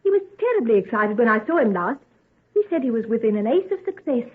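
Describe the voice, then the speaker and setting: An actress playing an affectionate, earnest young sweetheart in old-time radio drama, effusive in manner. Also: female voice, fairly high pitch, big pitch swings, quick pace. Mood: wistful, worried. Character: eager, affectionate young woman, fretful about her sweetheart. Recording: tinny narrow old radio recording.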